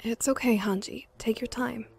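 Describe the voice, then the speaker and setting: A woman voicing a calm, caring, gently insistent campmate in a fan audio drama, earnest and soothing. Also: a little high, normal speed, creaky, husky, faint music behind.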